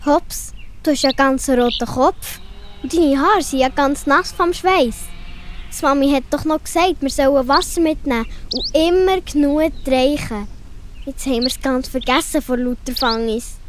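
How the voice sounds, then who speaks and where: A woman acts out a caring young female forest animal in a children's radio play. The voice is high, Swiss German in accent and motherly in manner, over clearly audible background noise. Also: normal speed, close to an everyday voice. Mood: caring, brisk.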